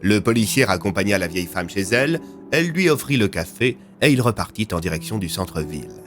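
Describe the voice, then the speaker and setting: A man's voice: fairly low pitch, big pitch swings, fairly quick. A man reading scripted French audio fiction as its narrator.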